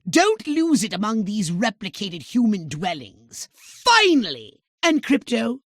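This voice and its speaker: High-pitched, pompous alien scientist. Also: male voice, huge pitch swings, a little slow, no echo, clean studio sound, full character voice. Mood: sardonic, accusing. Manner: pompous, pedantic, sardonic, theatrical. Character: fussy, haughty alien scientist commander, male, scheming and exasperated. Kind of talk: video game voice acting.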